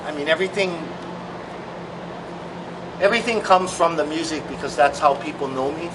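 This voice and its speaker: Soft-spoken man, far from the mic. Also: fairly high pitch. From Hawaii. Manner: thoughtful, halting, many fillers. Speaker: songwriter and musician. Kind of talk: reflective interview talk. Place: large open-sided shed outdoors. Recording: distant mic, clear background noise.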